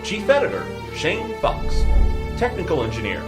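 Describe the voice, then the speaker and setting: A man reads out the end credits in a formal, measured way, his voice high and lively, rising and falling. Loud music plays under him the whole time. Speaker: credits announcer.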